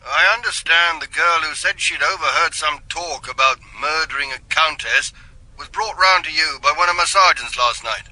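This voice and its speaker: A dour, dutiful police inspector in a radio drama. His voice is very sharp and forceful, set rather high, with stiff, formal phrasing. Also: moderate pace, rough recording. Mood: dour, pointed.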